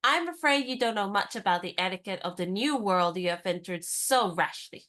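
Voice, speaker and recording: An actress in a radio play playing a spirited young woman disguised as a lady's maid, talking in a brisk, teasing, knowing way. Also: medium pitch, animated, fairly bright tone, lightly acted.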